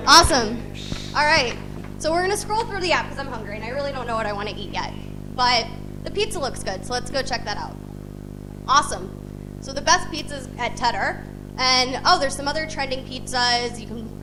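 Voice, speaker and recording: A woman in her thirties from the United States presents on a demo-day stage, with an upbeat, chatty manner as she walks through an app. Her voice sits a little high. Loud music plays behind her. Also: low-quality recording. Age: 30s. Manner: upbeat, demoing an app live. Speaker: bootcamp student presenter. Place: event stage, live presentation.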